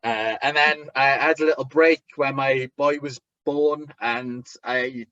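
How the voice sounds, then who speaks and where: A man with a thick Welsh accent, a wrestler chatting as an interview guest, talking haltingly with lots of restarts and fillers. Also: mid-range voice, steady tone, sharp tone, no room sound, muffled recording. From Wales. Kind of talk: casual interview chat.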